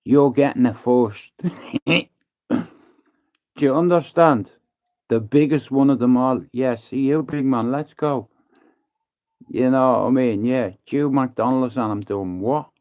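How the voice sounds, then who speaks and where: A man's voice: medium pitch, slow pace, long pauses. A man, living in Manchester, telling a rambling, profane story on a livestream and acting out his own lines to others. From Ireland. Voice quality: profane, slangy, calls everyone bro. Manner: animated, acts out his own threats and taunts in hushed voice. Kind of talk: casual storytelling monologue.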